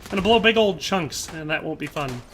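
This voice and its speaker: Bright, jokey male game streamer. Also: fairly high pitch, lively intonation, brisk, slight background noise. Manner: jokey, chatty, laughs often. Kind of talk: live gameplay commentary, reading chat.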